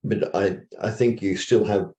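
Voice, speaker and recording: A man talking as a guest in a casual conversation, measured and a little hesitant. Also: on the low side, steady tone, dark tone.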